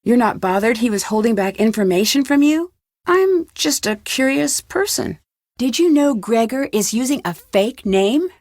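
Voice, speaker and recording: Young female detective, adventure game. Curious, direct, unflinching, lightly high-pitched, studio-dry. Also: animated, moderate pace, darkish tone, no room sound. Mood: probing, composed. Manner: inquisitive, direct, composed questioning.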